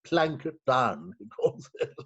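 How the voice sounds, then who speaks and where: Dull video-call audio of a man playing a doting gentleman in a farce. The voice is highish and very lively in pitch, a little husky, with a fond, hesitant delivery. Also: slow pace, heavily acted. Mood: genial, flustered. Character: genial, doting Victorian gentleman stepfather. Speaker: actor in an amateur staged reading. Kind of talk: Victorian farce stage acting.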